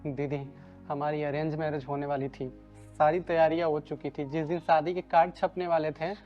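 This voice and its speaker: A man's voice: on the high side, little pitch movement, normal speed, muffled recording, faint music behind, close to an everyday voice. A young man playing a devoted, anxious runaway groom in a Hindi moral drama. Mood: anxious devotion.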